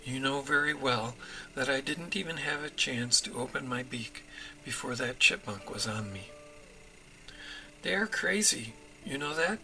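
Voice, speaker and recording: A man plays a sensible storybook robin husband who is mildly put out. He speaks in a very bright, slightly gravelly voice at a measured pace. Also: medium pitch, animated, soft background music, heavily acted, low-quality recording. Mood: exasperated. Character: mild-mannered male robin husband, reasonable but exasperated.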